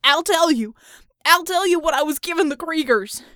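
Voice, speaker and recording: A woman voicing a wounded, cowardly male official in an audiobook, gasping, shouting and pleading in pain. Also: sits high, lively intonation, normal speed, no room sound, studio quality, voice pushed up. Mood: desperate pleading. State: in pain, out of breath. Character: wounded, panicked, cowardly American city councilman, male.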